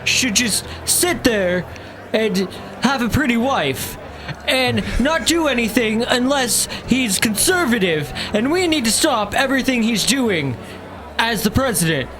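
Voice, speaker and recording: A man's voice: extremely high pitch, expressive, measured pace, music in the background. A man playing a blustering conservative talk show host who rants indignantly and rambles.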